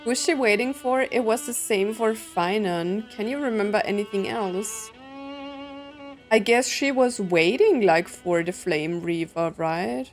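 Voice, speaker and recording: Slow, chatty young woman reacting to a game. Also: mid-range voice. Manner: chatty, fills with "like" and "right". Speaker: gameplay streamer. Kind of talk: casual reaction commentary. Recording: voice over game music.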